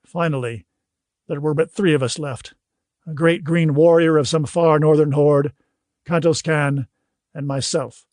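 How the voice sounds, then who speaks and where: A man reading scripted audiobook narration in a measured, literary storytelling manner. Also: fairly high pitch, fairly even intonation, a little mellow, dry recording, clean studio sound.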